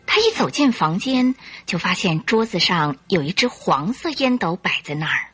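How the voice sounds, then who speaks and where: A woman narrator telling a scripted radio drama story with expressive delivery. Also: lowish voice, normal speed, husky.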